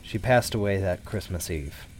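A man playing a hesitant, guilt-ridden widowed husband confiding in an audio drama, with halting delivery. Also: low voice, a little hiss or hum, close to an everyday voice. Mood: grieving, subdued. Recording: background music under the voice.

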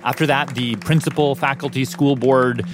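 A man hosts a radio documentary in a mid-pitched voice. He tells the story conversationally, doubling back on words and halting mid-sentence. Soft music plays behind him. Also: fairly even intonation. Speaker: radio documentary host and reporter.

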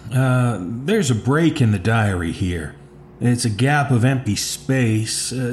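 A slow, halting document examiner, noisy. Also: male voice, mid-range voice, darkish tone. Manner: halting, matter-of-fact, observational. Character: hesitant investigator reading and describing an old diary aloud. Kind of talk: audio horror drama, found-document commentary. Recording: clear background noise and ambience.

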